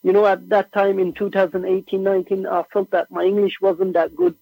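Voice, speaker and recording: A young man from Norway whose first language is Norwegian, chatting casually as a musician guest on a remote call, relaxed and slangy. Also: sits high, brisk, gravelly, breathy edge, dry recording. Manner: relaxed, slangy, says "bro" and "like" often. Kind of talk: casual interview chat. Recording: tinny phone-like remote line.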